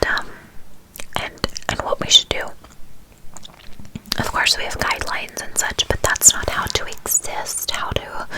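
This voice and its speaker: A woman whispering softly and soothingly to her viewers, calling them her loves. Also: poor audio. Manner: soft, slow, soothing, addresses listeners as "my loves". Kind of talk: whispered ASMR-style talk.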